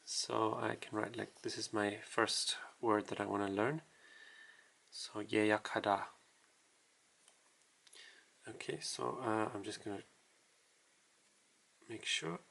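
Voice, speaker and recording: A man casually walking through a writing tutorial, explaining in a halting, self-deprecating way as he writes. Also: fairly low pitch, fairly even intonation, creaky, fairly bright tone. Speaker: language tutorial host.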